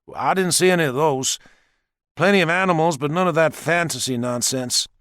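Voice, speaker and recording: A man's voice: on the high side, fairly quick, a bit bright, no echo, clean studio sound, pitched above the performer's own voice, close to an everyday voice. A man voicing an earnest, awkward thirty-year-old male police officer as audiobook dialogue. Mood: scoffing.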